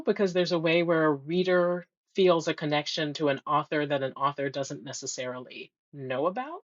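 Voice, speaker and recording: A woman's voice: low-pitched, no echo, muffled recording. A middle-aged woman, a history professor, interviewing in a thoughtful, rambling way with many ums. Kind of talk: conversational interview.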